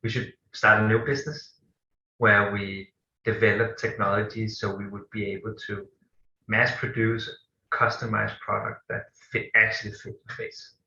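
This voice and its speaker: A Danish-accented man in his thirties speaks with a low, fairly monotone voice that still has a bright, forceful edge. He talks hesitantly, with many fillers and restarts. The sound is roomy and echoey, and a bit dull and lo-fi. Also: moderate pace, vocal fry. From Denmark. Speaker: guest, company co-founder. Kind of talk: interview answers.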